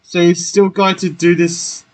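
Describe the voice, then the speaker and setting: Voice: medium pitch, level tone, unhurried. A gamer giving casual, laid-back, informal commentary during a playthrough. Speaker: gamer, let's player. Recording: game music behind the voice.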